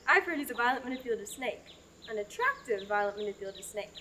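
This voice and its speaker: A woman's voice: on the high side, lively intonation, quick pace, sharp tone, a little hiss or hum. A young woman in a student radio drama, playing a dry-witted farm woman. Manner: wry, dry humor.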